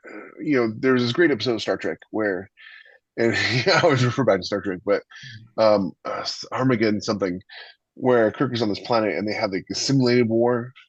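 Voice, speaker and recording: Male podcast host, husky and low, emphatic and opinionated, slightly muffled dry sound. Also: brisk, dry recording. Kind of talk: casual podcast discussion.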